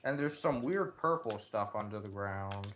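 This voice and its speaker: A man's voice: on the low side, animated, moderate pace, a bit bright, lo-fi sound. Sweary male gamer, noisy recording. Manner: sweary, slangy. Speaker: gamer in a co-op let's play. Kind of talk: casual gaming commentary with a friend.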